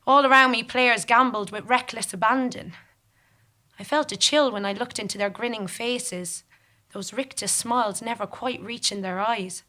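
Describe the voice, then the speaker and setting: Bright-voiced woman delivering a dramatic monologue. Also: mid-range voice. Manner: formal, deliberate, theatrical storytelling. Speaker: monologue performer. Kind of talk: dramatic first-person scripted narration. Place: theatre stage.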